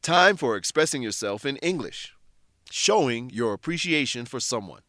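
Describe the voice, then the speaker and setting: A man narrating a scripted English lesson in a deliberate, instructional manner. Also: mid-range voice, lively intonation, bright, forceful tone, dry recording, clean studio sound.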